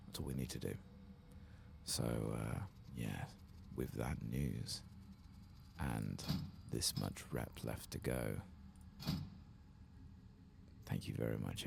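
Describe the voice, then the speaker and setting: A man speaks in an extremely deep, softly hushed voice thick with creak. He narrates his game in ASMR style, halting as he goes, over faint background noise. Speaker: game streamer, ASMR host. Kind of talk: soft-spoken, whispered ASMR game commentary.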